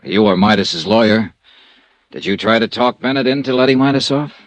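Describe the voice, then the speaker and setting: An actor playing a wry, hard-boiled insurance investigator who narrates and questions in a clipped, matter-of-fact way, in 1950s radio drama style. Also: male voice, lowish voice, expressive, brisk, dry recording, close to an everyday voice. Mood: probing.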